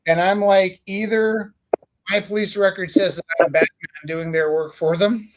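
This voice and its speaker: A man's voice: high voice, steady tone, moderate pace, rough recording. A man from Canada tells a long, winding story with plenty of ums, restarts and the occasional casual swear. His voice sits slightly above the usual range for a man, and he talks like a teacher chatting between friends. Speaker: teacher and stream host.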